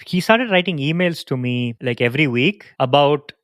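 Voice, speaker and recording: A man from India in a relaxed, reflective podcast conversation, telling family stories. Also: fairly high pitch, normal speed, no room sound, clean studio sound. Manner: reflective, storytelling. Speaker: podcast co-host. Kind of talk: casual conversation.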